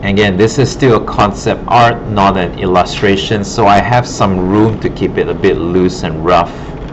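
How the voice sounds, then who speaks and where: A low, deep male voice walks a class through a demo in a casual, think-aloud way. The sound is dull and muffled, with clear background hum from the classroom. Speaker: digital art instructor. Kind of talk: informal classroom demonstration, narrating while working. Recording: muffled, broken audio with loud room hum.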